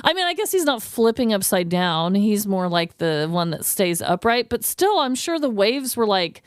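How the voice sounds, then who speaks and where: A woman's voice: medium pitch, very animated, normal speed, clean tone, no room sound, clean studio sound. A woman co-hosting a podcast, telling a story in casual, slangy conversation and often acting out what people said. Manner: informal, slangy, acts out imagined dialogue. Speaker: podcast co-host telling a true crime story.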